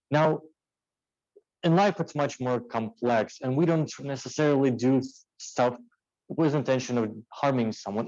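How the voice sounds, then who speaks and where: A man with a medium-pitched voice delivers an off-the-cuff club speech. He pushes his points with rhetorical questions and short commands. The sound is dry and a bit dull. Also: darkish tone, no echo. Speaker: impromptu speaker at a speaking club. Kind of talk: impromptu motivational speech.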